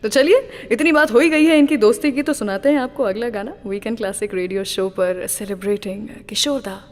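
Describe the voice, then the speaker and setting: A woman's voice: a little high, a little fast. A woman hosting a classic-songs radio show, chatting through anecdotes between songs and reciting a short couplet. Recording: long reverb with music underneath.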